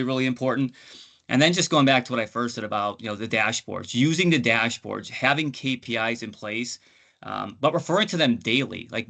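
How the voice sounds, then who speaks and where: A man's voice: medium pitch, bright, forceful tone. A man on a webinar panel giving conversational business advice in an informal, explanatory way. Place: online webinar call.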